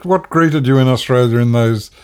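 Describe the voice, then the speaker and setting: A man's voice: medium pitch, normal speed, clear, resonant tone. A man co-hosting an interview, asking short, plain questions. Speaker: co-host.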